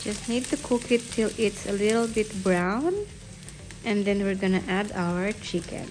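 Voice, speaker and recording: Slow, easygoing woman narrating a home cooking video. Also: mid-range voice, darkish tone. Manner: slow, unhurried step-by-step narration. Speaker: home cook vlogger. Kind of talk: cooking voice-over. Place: home kitchen, food sizzling in pan. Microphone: voice-over. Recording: audible kitchen noise behind.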